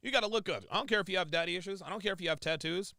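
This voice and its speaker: A man's voice: high-pitched, rapid-fire, creaky, bright, forceful tone, no room sound, studio quality. A man streaming live, reacting to a clip with emphatic, repetitive ranting commentary.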